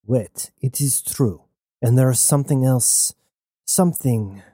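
Hesitant male leader, slow, lowered voice; narrator doing it. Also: dry recording, clean studio sound, lightly acted. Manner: hushed, hesitant.

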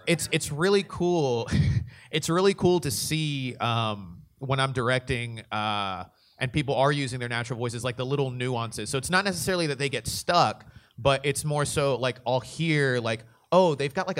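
A man's voice: medium pitch, normal speed, fairly bright tone. A male panelist with a mid-range voice speaks informally about his work. He feels his way through each thought in a loose, conversational manner, as at a live convention. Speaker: voice actor and director on a panel. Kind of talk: casual panel talk.

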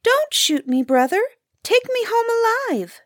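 A woman's voice: extremely high pitch, big pitch swings, unhurried, no room sound, clean studio sound, pitched above the performer's own voice, heavily acted. A woman voicing an earnest, mysterious talking grouse that pleads in a storybook tale. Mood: pleading. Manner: pleading, entreating.

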